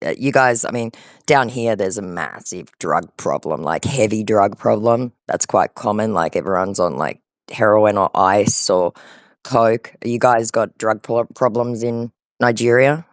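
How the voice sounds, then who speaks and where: A man's voice: lowish voice, unhurried, no echo. A man chatting casually on a call, explaining things in a conversational, talkative way. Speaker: scambaiter on a call with a scammer. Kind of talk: casual phone chat.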